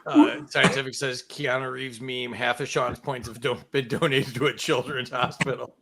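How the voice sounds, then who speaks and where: A man's voice: mid-range voice, fairly even intonation, moderate pace, creaky, bright, forceful tone. A man hosting a casual online game show, joking, laughing often and reading out chat comments.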